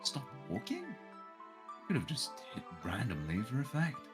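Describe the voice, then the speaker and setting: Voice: mid-range voice, huge pitch swings, measured pace, over music. A streamer reading a visual novel's narration aloud in a dramatic way.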